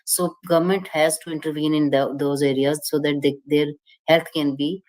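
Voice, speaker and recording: A woman explaining at length at a panel discussion, pausing often and restarting her sentences. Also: sits low, fairly even intonation, darkish tone. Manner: hesitant, many fillers and restarts, explanatory. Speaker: panel speaker.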